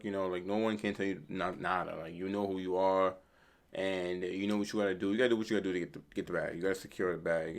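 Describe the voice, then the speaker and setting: Very deep-voiced laid-back man from Queens. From Queens, New York. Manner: laid-back, rambling, lots of "you know" and "like". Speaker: vlogger. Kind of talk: casual talk to camera.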